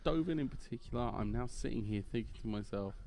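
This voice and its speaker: A man's voice: mid-range voice, fast, gravelly, over a noisy crowd. A man commentating a live game broadcast, rambling and self-deprecating.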